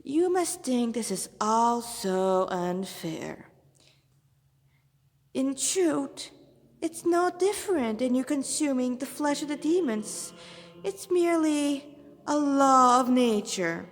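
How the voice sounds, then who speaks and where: A woman's voice: high-pitched, animated, slow pace, in a large hall, voice pushed up, heavily acted. A woman voicing a flamboyant, mocking male demon lieutenant in a story, taunting theatrically and condescendingly. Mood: smug lecturing.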